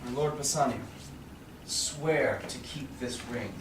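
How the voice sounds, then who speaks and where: A man's voice: mid-range voice, slow pace, lightly acted. A young man playing a solemn, loyal merchant in a Shakespeare staged reading, declaiming formally from a stage. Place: small theatre stage with audience. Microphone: far camera in the audience. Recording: roomy, distant, noisy theatre recording.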